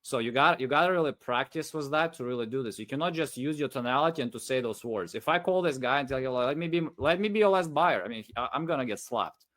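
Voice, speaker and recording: A man's voice: medium pitch, animated, fast talker, few pauses, a bit bright. A man who speaks English as a second language, giving unscripted sales advice in a rambling, repetitive, emphatic way. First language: non-English first language.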